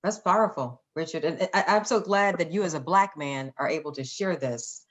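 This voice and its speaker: A woman's voice: sits low, normal speed. A woman hosting a casual, chatty live interview, playful and generous with praise. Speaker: radio interview host.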